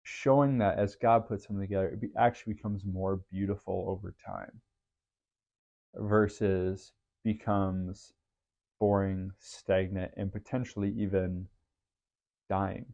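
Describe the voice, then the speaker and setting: Deep-voiced earnest man, muffled podcast. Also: level tone, measured pace, creaky, a little mellow. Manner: earnest, faith-based, thoughtful. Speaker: podcast host. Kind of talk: reflective solo podcast monologue.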